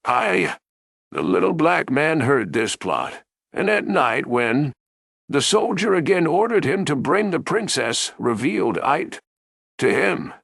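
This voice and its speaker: Expressive male storyteller, very lively pitch. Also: measured pace, gravelly, no room sound, clean studio sound. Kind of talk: bedtime fairy tale narration.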